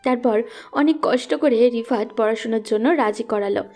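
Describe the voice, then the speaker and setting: A woman's voice: a little high, moderate pace, darkish tone, faint music behind. A woman reading scripted narration for a romantic story as its narrator.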